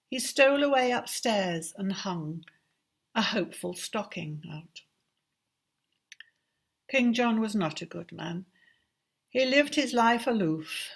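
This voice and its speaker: A woman with an English accent reciting storybook verse expressively, with measured, dramatic phrasing. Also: mid-range voice. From England. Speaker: poetry reader.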